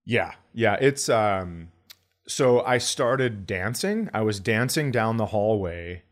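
A man hosting a comedy podcast, telling stories in an animated, grumbling, sweary way and acting out quotes. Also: on the low side, slow pace. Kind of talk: comic storytelling in casual podcast chat.

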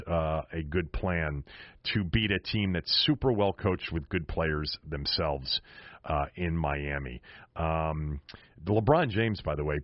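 A man's voice: sits low, no room sound, lo-fi sound. A middle-aged American man giving casual, opinionated solo sports commentary as a host, speaking emphatically. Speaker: sports podcast and radio host.

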